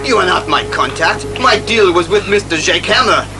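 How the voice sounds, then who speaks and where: A man's voice: high voice, lively intonation, normal speed, music in the background. A man playing a nervous, impatient arms buyer with stiff, formal speech in an audio drama. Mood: suspicious, formal. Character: anxious, formal male arms buyer on a dock. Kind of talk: superhero audio drama acting.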